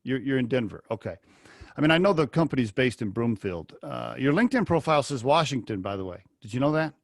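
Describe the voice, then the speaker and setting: A man's voice: medium pitch, animated, fast, vocal fry, dry recording. Chatty male podcast host, dry sound. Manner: chatty, asks rambling questions. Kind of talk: casual interview. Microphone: video call.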